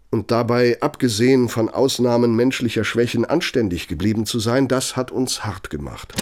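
An actor playing a cynical, bitter middle-aged architect and old Nazi functionary in a German radio play, gravelly, with a pointed, self-justifying delivery. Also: male voice, mid-range voice, noisy background, lightly acted. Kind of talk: German radio play acting, dramatic monologue.

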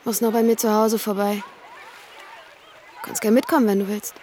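A woman's voice: mid-range voice, fairly even intonation, moderate pace, dark tone, natural voice. A woman playing a cool, teasing, independent teenage girl, speaking in a laid-back, naturalistic way in a radio drama. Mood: casual, inviting. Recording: noisy outdoor crowd ambience behind.

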